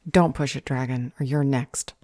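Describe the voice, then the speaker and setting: A woman's voice: rumbling low, steady tone, moderate pace, warm tone, dry recording, studio quality. A woman playing a stern, curt magical council judge in a fantasy audio roleplay, formal, officious and commanding. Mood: curt warning.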